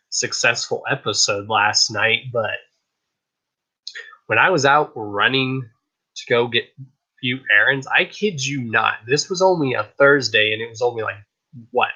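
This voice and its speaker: A man hosting a casual live stream show, chatting in a hyped, rambling way, his voice a little raspy. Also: mid-range voice, sharp tone, highs cut off. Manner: hyped, rambling, repeats "I kid you not". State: slightly raspy voice.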